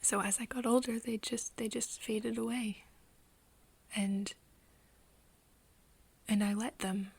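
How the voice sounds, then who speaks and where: A woman acting an earnest, wistful friend in an intimate ASMR roleplay, speaking softly and haltingly, often near a whisper. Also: a little high, steady tone, full character voice. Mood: wistful, sad. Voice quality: soft, breathy, often whispery. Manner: hesitant, halting, pausing often.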